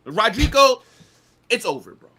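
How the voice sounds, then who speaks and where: A man's voice: extremely high pitch, big pitch swings, unhurried, sharp tone, husky. A man in casual, opinionated football chat, hosting with slangy banter and emphatic rhetorical questions. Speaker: football show host and streamer.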